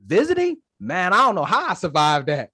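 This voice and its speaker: A young man from Detroit, a podcast guest telling his story in casual, animated, slangy chat. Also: high voice, a little fast, husky, dry recording, clean studio sound. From Detroit, Michigan, USA. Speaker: podcast guest, Marine staff sergeant.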